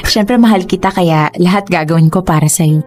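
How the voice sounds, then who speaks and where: A woman's voice: on the low side, a little slow, soft background music, lightly acted. Jane, a woman playing a reassuring girlfriend. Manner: reassuring, cheerful, laughs often. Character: loving, reassuring young girlfriend. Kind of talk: radio drama acting.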